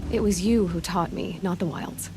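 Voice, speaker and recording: A woman's voice: lowish voice, moderate pace, lightly acted, poor audio. Woman acting a determined young huntress, music behind. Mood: earnest, uncertain. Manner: earnest, plainspoken, resolute. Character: determined, earnest young female outcast hunter, stubborn and loyal. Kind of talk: dramatic video game cutscene acting.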